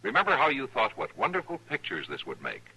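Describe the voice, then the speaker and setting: A male 1950s radio announcer with a lively, very bright delivery, persuasive and emphatic. His voice is a bit husky, with faint music beneath. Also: mid-range voice, fast talker, poor audio.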